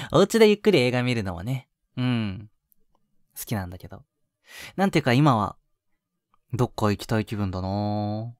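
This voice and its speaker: A man voicing an affectionate, clingy young boyfriend in an intimate audio drama, murmuring softly to the listener with breathy chuckles. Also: mid-range voice, big pitch swings, unhurried, pauses often, no echo, studio quality. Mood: wistful, tender. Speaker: voice actor.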